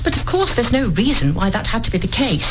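High, lively young lady detective. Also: fast. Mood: assured explaining. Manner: articulate, methodical explaining. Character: clever young amateur detective, precise and confident young lady. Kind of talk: radio play acting. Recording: dull, noisy radio play recording.

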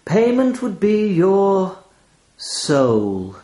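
A smug, menacing talking raven from a storybook, played by a male reader, pitched high and swooping theatrically. It savours every pause with mock friendliness and hammy assurance, in a roomy, echoing space. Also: huge pitch swings, dark tone, heavily acted. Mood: savouring menace. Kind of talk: dramatised short-story reading.

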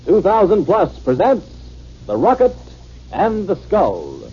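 A man's voice: high-pitched, wildly swooping pitch, slow pace, heavily acted. A man performing as a theatrical, portentous announcer introducing a 1950s science fiction radio show. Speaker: series announcer. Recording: muffled, noisy old 1950s radio recording.

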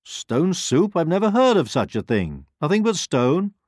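The neighbour at a storybook farmhouse door: a plucky, excitable pig acted by a man, pitched up high and bouncing all over the scale. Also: brisk, no echo, clean studio sound, lightly acted. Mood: anxious, puzzled. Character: concerned, plucky, jolly male pig neighbour. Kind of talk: storybook character voice.

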